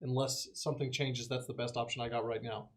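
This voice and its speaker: A man's voice: medium pitch, flat delivery, a little fast. A man playing a tabletop game, talking casually and directively as he plans tactics aloud.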